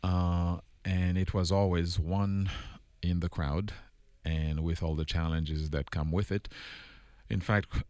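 Deep-voiced man. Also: little pitch movement, a little mellow, no echo. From Haiti. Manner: measured and reflective, frequent pauses and "uh" fillers. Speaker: engineering dean, guest. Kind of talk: interview, personal storytelling.

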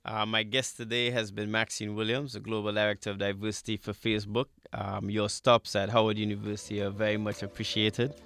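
A man hosting a radio interview, asking measured questions in deliberate, formal and slightly halting phrases. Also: lowish voice, level tone, a bit bright, no room sound, studio quality. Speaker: radio interview show host.

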